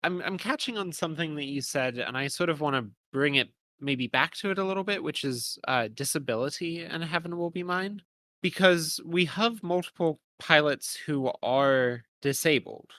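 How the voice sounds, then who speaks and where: A man's voice: fairly high pitch, moderate pace, fairly bright tone, no echo. A man co-hosting a podcast, discussing a game in a conversational way. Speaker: podcast co-host.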